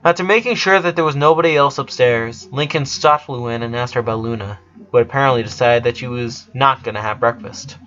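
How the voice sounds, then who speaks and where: A man reading a story aloud as an expressive narrator, voicing dialogue between passages of prose. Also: mid-range voice, fairly quick, lo-fi sound. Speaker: narrator reading fan fiction.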